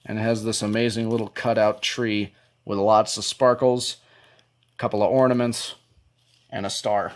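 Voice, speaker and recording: A man talking in a deliberate, sentimental way, as in a casual show-and-tell video, sometimes reading aloud. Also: on the low side, little pitch movement, a little hiss or hum. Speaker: YouTube video creator.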